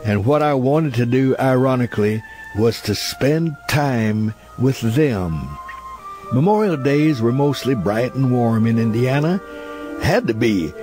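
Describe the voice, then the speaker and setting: A man's voice: medium pitch, lively intonation, measured pace. Nostalgic male storyteller over background music. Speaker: audiobook narrator. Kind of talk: scripted memoir narration, storytelling.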